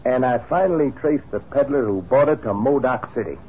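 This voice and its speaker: An actor playing a hard-bitten, scheming escaped convict in a 1950s radio western. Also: male voice, mid-range voice, expressive, brisk, natural voice. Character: ruthless male escaped convict, scheming gold hunter. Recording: tinny, hissy old radio recording.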